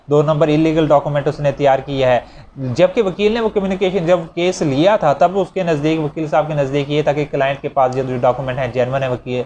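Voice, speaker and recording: A man's voice: a little high, a little mellow, dull audio. A man with a Pakistani accent gives an explanatory law lecture, reading out clauses and then repeating and rephrasing them in a didactic way. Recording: clearly noisy recording with ambient sound.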